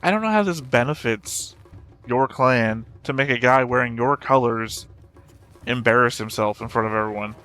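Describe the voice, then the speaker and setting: A man hosts a reaction show in a casual, off-the-cuff way. His voice sits at an ordinary pitch, with some music playing behind him. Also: normal speed, sharp tone.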